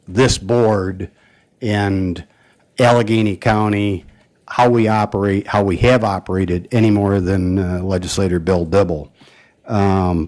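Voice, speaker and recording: Low-voiced man giving a halting tribute. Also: darkish tone. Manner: halting, many "uh" and "um" fillers. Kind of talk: formal tribute speech at a meeting.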